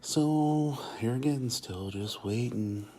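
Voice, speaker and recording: A man in a hospital room giving calm, matter-of-fact updates for a vlog while his partner is in surgery. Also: medium pitch, slow pace, a little hiss or hum.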